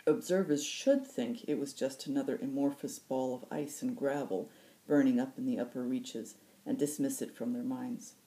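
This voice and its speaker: Female storyteller, markedly low register, mellow tone, reading novel excerpts as host. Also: rough recording. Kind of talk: audiobook-style fiction narration.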